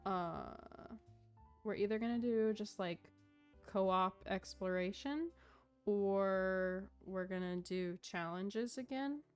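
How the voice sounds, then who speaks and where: A woman's voice: medium pitch, slow, clear, resonant tone. A woman game streamer talking casually and informally to her viewers while she plays. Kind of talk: casual livestream chat while gaming. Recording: game music behind the voice. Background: game music.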